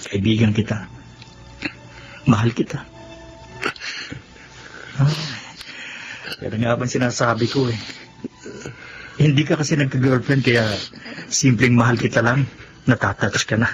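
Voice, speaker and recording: A man's voice: medium pitch, steady tone, unhurried, pauses often, over music, lightly acted. A man playing a caring, playful and loyal best friend in a radio drama, reassuring and teasing. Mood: teasing, affectionate. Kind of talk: Tagalog radio drama acting. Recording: dull lo-fi audio, clear background noise.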